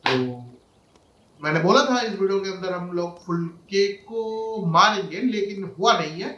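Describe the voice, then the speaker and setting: A man's voice: high voice, rapid-fire, noisy background. A man giving casual walkthrough commentary as a gaming video host. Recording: roomy, slightly dull microphone.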